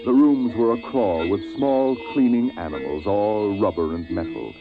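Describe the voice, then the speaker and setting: An actor narrating a 1950s science-fiction radio drama as a solemn storyteller, with measured phrasing and weighty pauses. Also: male voice, fairly low pitch, natural voice. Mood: solemn, dramatic. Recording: tinny vintage radio recording, music behind.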